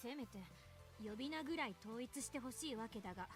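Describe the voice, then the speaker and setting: Woman acting high, rapid, prickly young woman. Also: expressive, natural voice. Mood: indignant. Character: prickly, sulky, defensive young woman, clever. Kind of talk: Japanese visual novel voice acting. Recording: game audio under loud music. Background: music.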